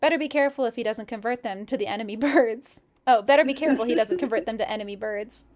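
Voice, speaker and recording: A woman reading a story aloud expressively, in the relaxed, chatty way of a casual co-host. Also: a little high, fast talker. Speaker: co-host of a fan fiction read-aloud stream.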